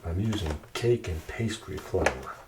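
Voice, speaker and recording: Distant noisy recording, deep-voiced man, unhurried ASMR cooking chat, talking to himself. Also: expressive. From Quebec, Canada. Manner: unhurried, talking to himself, asking viewers questions. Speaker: home cooking host. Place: home kitchen. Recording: distant mic, clearly noisy, clicking sounds.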